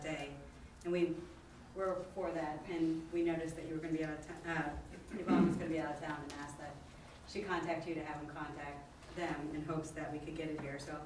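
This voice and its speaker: Low flat-voiced woman, distant and echoey. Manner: steady reading of dates and figures, with fillers. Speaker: council member chairing finance committee. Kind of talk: committee report at a council meeting. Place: council chambers. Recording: echoey chamber, distant mic, background hum.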